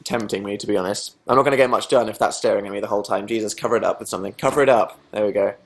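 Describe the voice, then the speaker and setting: A young man hosting a casual livestream, reading viewer comments aloud and answering them with sarcastic, deadpan, self-mocking jokes. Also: lowish voice, rapid-fire, gravelly. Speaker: livestream host.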